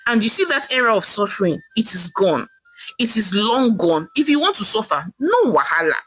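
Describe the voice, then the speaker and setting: Woman voicing a sharp-tongued Nigerian wife, high and animated. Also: normal speed, husky, heavily acted, rough recording. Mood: indignant scolding. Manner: scolding, emphatic, taunting. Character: sharp-tongued, defiant middle-aged Nigerian wife scolding her husband.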